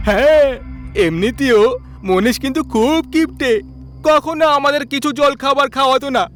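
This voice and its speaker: A carefree young man , put on by a male narrator, pitched up very high and full of boisterous energy. He talks to his pals in an exaggerated cartoon-story way. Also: a bit bright, heavily acted. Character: excitable young man, carefree wedding-bound friend. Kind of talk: animated story dialogue.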